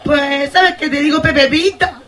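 A woman playing a gossipy, excitable farmyard hen in a comic radio play. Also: high voice, unhurried, slight background noise. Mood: cheeky. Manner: gossipy, exclamatory, colloquial. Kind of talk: comic radio theatre acting.

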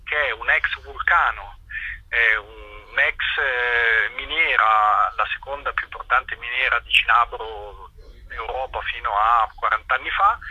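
A man being interviewed as an activist, explaining informally and haltingly, searching for his words. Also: mid-range voice, pushed and bright, breathy edge, poor audio.

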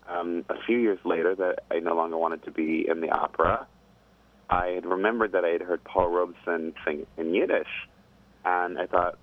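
A man who is an opera singer, chatting as a guest in a casual interview, thoughtful and rambling and quick to laugh. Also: sits low. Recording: tinny phone line.

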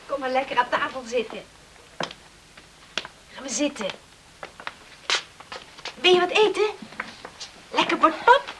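Kindly young island woman: very high, coaxing radio-play voice, clearly noisy old recording. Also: sing-song, slow, pauses often, heavily acted. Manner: coaxing, sing-song. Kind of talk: radio drama acting.

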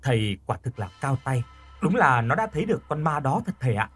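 Cheeky young woman, lively pitch played by a man. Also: a little fast, husky, soft background music. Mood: admiring. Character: cheeky, evasive young woman, playful friend.

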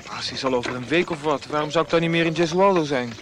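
A man's voice: on the high side, quick pace, close to an everyday voice. An actor playing a curious, outspoken travelling physician in a radio play, exclaiming and hesitating as he speaks. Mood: blunt. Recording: noisy old radio drama, outdoor effects.

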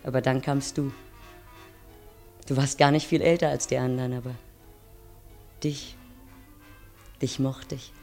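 A woman's voice: a bass voice, flat delivery, unhurried, pauses often, a little mellow. An actress playing a weary railwayman's wife in a 1950s radio play, addressing her husband intimately and dramatically. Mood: wistful, pleading. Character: weary, pleading railwayman's wife looking back on youth. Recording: 1950s radio recording over music.

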